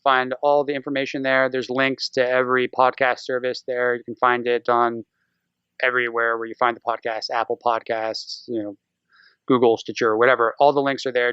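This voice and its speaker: Clear-voiced man chatting as a guest. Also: mid-range voice, bright, forceful tone, dry recording, lo-fi sound. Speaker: podcast guest. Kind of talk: casual conversational interview.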